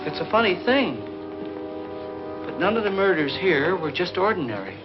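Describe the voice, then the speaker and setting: An actor playing a haunted, morbid believer in murderous ghosts in a 1950s horror film, speaking in a grave, brooding, ominous way. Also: male voice, a little high. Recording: dull old film soundtrack, music behind.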